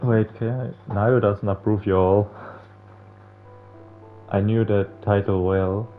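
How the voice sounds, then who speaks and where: Deep-voiced young man, thin phone-like sound. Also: steady tone, slow pace, a little hiss or hum. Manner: irreverent, slangy, swearing. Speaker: streamer playing a visual novel. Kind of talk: reading game text aloud with casual joking commentary. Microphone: streamer microphone with face cam. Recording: narrow phone-like audio with music behind. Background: game music.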